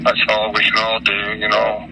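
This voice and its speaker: A middle-aged American man from Utah giving informal interview answers in a halting, repetitive, folksy way. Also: on the low side, completely flat delivery, noisy background. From Utah, United States.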